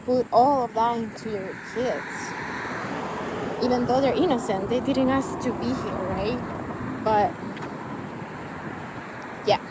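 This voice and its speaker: A woman's voice: fairly high pitch, slow pace, long pauses, heavy background noise. A young woman from Cuba, talking candidly to camera about her life, rambling with many fillers. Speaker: vlogger. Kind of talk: personal talk to camera.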